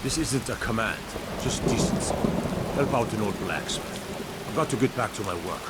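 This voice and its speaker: An actor playing a gruff, honest old blacksmith, proud of his craft, in a fantasy video game. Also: on the low side, moderate pace, very noisy, lightly acted. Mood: proud, earnest. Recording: game dialogue under loud rain and storm.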